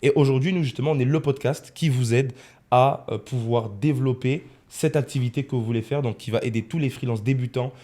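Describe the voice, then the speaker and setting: A man's voice: medium pitch, fairly quick, mellow. A man of twenty-one hosting a casual podcast in a studio, rephrasing his points for emphasis. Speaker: podcast host, freelance video editor.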